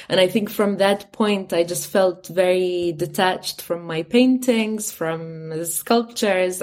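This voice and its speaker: Young adult woman filmmaker, low-pitched. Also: a little mellow. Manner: measured, with uhs and "you know". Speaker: artist and filmmaker. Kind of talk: panel interview answer.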